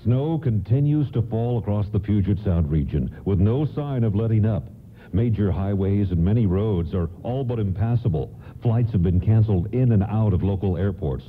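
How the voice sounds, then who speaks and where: A man playing a formal radio announcer, giving measured scripted narration. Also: fairly low pitch, lively intonation, natural voice. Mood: serious. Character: solemn storytelling radio announcer. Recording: narrow-band radio, music underneath.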